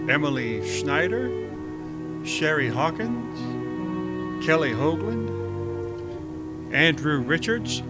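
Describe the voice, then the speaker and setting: Man announcing radio credits over music. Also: fairly high pitch. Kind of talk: scripted radio show credits.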